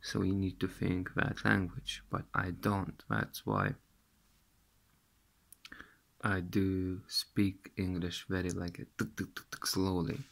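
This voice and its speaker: A slow-talking man in his thirties from Lithuania, his English carrying a Russian accent and a gravelly, fry-laden edge. He speaks haltingly, repeating words as he hunts for them, close to a handheld phone in a small room. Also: low-pitched. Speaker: vlogger. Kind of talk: recounting a dream to camera. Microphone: phone camera held close.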